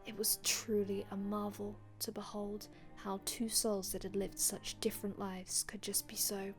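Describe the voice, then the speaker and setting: Hushed, even-toned vampire storyteller voiced by a woman. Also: medium pitch, close to an everyday voice. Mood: wistful, hushed storytelling. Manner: hushed, breathy, dramatic pauses, old-fashioned formal wording. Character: melancholy otherworldly vampire from an older time, telling old tales. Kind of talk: ASMR roleplay storytelling. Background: music.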